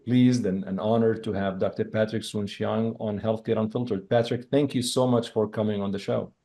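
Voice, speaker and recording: A man hosting an interview show, framing his questions haltingly with many restarts. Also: on the low side, fairly even intonation, dark tone. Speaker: podcast host, interviewer.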